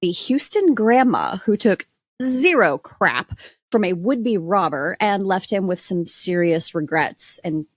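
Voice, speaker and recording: A woman's voice: medium pitch, animated, takes it slowly, no room sound. A woman podcast panelist telling a true crime story in a wry, colourful, informal style.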